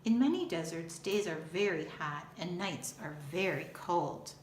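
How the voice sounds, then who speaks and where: A woman reads aloud for children like a schoolteacher. Her delivery is unhurried, deliberate and expressive. The room adds noticeable echo, with a faint layer of noise behind her. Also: on the low side. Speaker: school teacher reading aloud. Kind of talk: children's picture book read-aloud.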